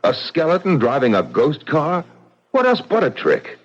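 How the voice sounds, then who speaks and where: An actor playing a blunt, forceful middle-aged businessman and widower in a vintage radio mystery. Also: a little high, wildly swooping pitch, normal speed. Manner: blunt and forceful, speaks his mind. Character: blunt, tough-minded male businessman widower. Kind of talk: vintage radio drama acting. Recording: dull old radio broadcast.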